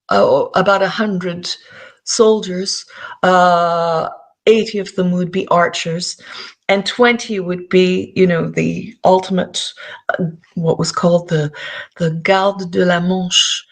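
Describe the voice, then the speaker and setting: A woman with a Canadian accent, a podcast guest telling history with enthusiasm between many pauses and fillers. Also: on the low side. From Scotland. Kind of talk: interview chat.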